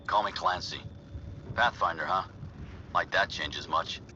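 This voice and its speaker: An actor playing a gruff, jaded male settler with a curt, cynical manner in a video game cutscene. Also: sits low, unhurried, bright, forceful tone, highs cut off, a little hiss or hum, full character voice.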